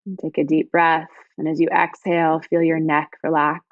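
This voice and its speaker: Female meditation guide, lower register, soothing and unhurried, very dry and somewhat muffled lo-fi sound. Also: flat delivery, no room sound. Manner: soothing, gentle, unhurried phrasing with pauses. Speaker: yoga teacher guiding meditation. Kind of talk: guided body scan meditation.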